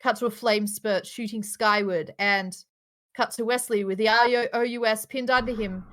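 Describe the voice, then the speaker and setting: A woman's voice: a little high, steady tone, normal speed, fairly bright tone. A woman reading out screenplay stage directions at a casual live table read, with occasional offhand asides.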